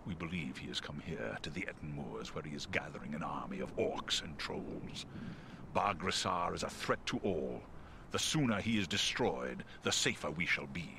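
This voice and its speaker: Noble eagle scout for a fantasy game: earnest, stately, expressive melody, with clear background noise behind. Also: male voice, a bass voice, moderate pace, exaggerated voice. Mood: grave. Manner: formal, stately diction. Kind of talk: scripted fantasy game dialogue.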